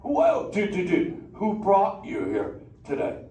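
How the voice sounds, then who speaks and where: A man's voice: high voice, big pitch swings, slow pace, dark tone, distant mic, heavily acted. A man playing a laid-back male surfer sea turtle in a live interactive theater show, drawling surfer slang and bantering with the crowd. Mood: playful. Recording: echoey theater heard from afar with ambient noise.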